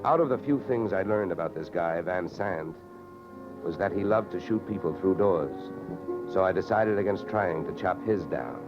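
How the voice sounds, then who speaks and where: A man's voice: deep voice, lively intonation, moderate pace, highs cut off. An American man playing a wisecracking insurance investigator in old radio detective drama style, clipped, sardonic and pushy. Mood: dry narration. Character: hardboiled, wisecracking male freelance insurance investigator. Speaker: lead actor and first-person narrator. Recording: vintage radio recording, music behind.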